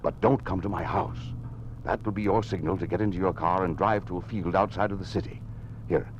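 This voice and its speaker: A male actor plays a grim governor with secrets, in golden-age radio style. His voice is very low and his delivery is deliberate and commanding. There is light noise over the old recording. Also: fairly even intonation, lo-fi sound, natural voice. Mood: urgent, secretive. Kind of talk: old-time radio drama acting.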